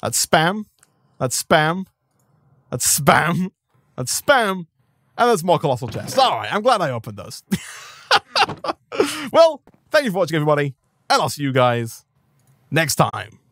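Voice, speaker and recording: A man commentating his own live gameplay stream in an upbeat, rambling way, thanking viewers, his voice a little hoarse. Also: on the high side, sing-song, a little slow, long pauses, fairly bright tone, dry recording, studio quality. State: slightly hoarse.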